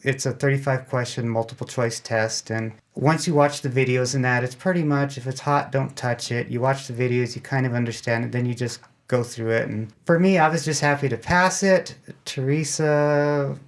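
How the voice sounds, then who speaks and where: Man, medium pitch, chatty, self-deprecating, casual explanations, interview guest. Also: steady tone, moderate pace, hardly any pauses. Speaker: amateur radio club member, guest.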